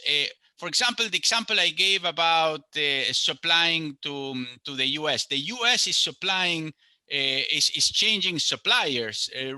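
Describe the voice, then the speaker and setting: An economist from Argentina with an Argentine Spanish accent, answering interview questions in English and often stopping with fillers and repeated words. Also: male voice, fairly high pitch, sharp tone, no echo. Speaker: economist guest.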